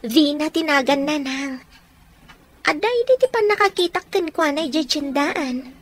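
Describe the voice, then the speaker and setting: A young daughter in an Ilocano radio drama. She has a very high voice and an Ilocano accent, talking in a chatty, confiding way. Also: animated, unhurried.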